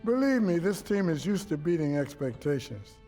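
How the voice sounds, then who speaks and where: An actor playing a shrewd, measured resistance leader who speaks formally and deliberately in a video game. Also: male voice, a little high, big pitch swings, warm tone, faint music behind. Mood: grave, measured. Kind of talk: cinematic video game acting.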